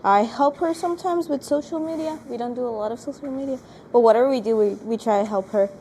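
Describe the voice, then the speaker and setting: A woman's voice: high-pitched, fast, mellow, a little hiss or hum. A woman from Venezuela who works as a server at a ramen restaurant, chatting casually and friendly in an interview. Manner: friendly, chatty, many likes.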